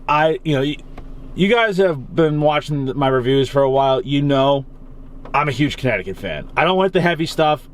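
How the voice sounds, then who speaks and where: A man's voice: mid-range voice, slight background noise. A young man with a Massachusetts accent chatting casually and rambling as he reviews a cigar from his car. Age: young adult. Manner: rambling, casual, swears freely. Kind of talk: casual vlog chat. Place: in his car on a rainy day.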